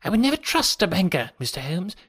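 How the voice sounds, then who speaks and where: A man voicing a nervous, frightened gentleman in an audiobook, with a reedy, quivering voice and a fussily polite, agitated manner. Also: high-pitched, wildly swooping pitch, quick pace, slightly rough, no echo, clean studio sound, voice pushed up, full character voice. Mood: anxious, confiding. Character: nervous, jittery, very fat sickly middle-aged gentleman, frightened. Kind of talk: audiobook character voice.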